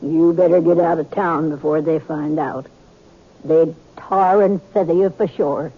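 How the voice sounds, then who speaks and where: An actress playing a shrewd, knowing old frontier woman with a husky voice and an amused drawl, in a 1950s radio drama. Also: deep voice, steady tone, unhurried. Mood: wry. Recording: tinny old radio transcription.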